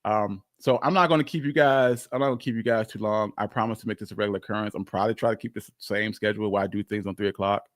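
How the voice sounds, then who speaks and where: A man's voice: on the low side, steady tone, rapid-fire, few pauses, no room sound, clean studio sound. A man hosting a casual, unscripted livestream, rambling informally and often repeating his phrases. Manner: informal, chuckles often, swears mildly. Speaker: livestream host.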